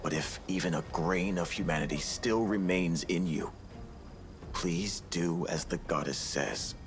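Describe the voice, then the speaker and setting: A grave companion from a fantasy game. His voice is deep and solemn, disapproving but pleading. Ambient noise is clear behind him. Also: a little slow. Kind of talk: video game voice acting.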